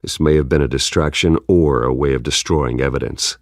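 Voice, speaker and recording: A menacing vigilante detective from a video game, with a bottomless, gravelly voice. He speaks in terse, controlled, intimidating lines, captured dry and close. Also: brisk, dry recording. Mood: analytical, terse. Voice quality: very low, gravelly growl. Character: grim, menacing masked vigilante detective, adult man.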